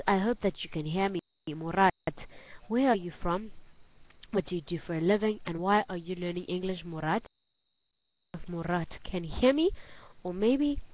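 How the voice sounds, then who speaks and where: A woman with a South African accent teaching an online English class, giving instructions clearly and repeating phrases for learners. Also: mid-range voice, no room sound. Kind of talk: online group lesson. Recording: dull lo-fi online call audio.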